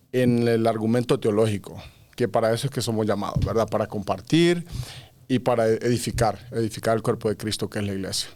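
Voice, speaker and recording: A man's voice: medium pitch, darkish tone. Earnest man explaining his views. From Honduras. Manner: earnest, explains at length with many fillers. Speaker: podcast guest. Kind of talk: conversational interview.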